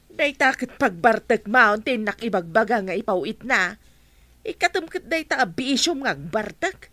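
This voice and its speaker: A woman's voice: on the high side, big pitch swings, normal speed, bright, forceful tone, no echo. A woman with an Ilocano accent voicing a worried, scolding middle-aged mother in a radio drama.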